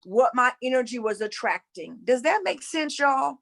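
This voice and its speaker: A woman's voice: sits high, lively intonation, normal speed, gravelly, fairly bright tone. A woman from North Carolina with a Southern accent, teaching about spirituality casually and earnestly, repeating phrases for emphasis. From North Carolina, USA.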